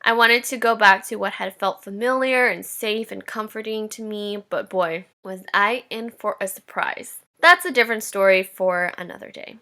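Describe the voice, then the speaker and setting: Bright-voiced young woman telling her story. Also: medium pitch, moderate pace, hardly any pauses. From Indonesia. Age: 20s. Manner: candid and reflective, with light laughs. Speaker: podcast host. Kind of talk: personal storytelling podcast.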